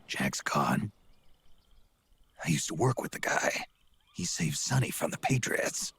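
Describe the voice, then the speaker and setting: An actor playing a gruff, world-weary old soldier in a video game, with a very raspy growl and a terse, blunt, suspicious manner. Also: male voice, low voice, big pitch swings, a little slow, a bit bright, no echo, full character voice. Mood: guarded. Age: older. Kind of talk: dramatic video game voice acting.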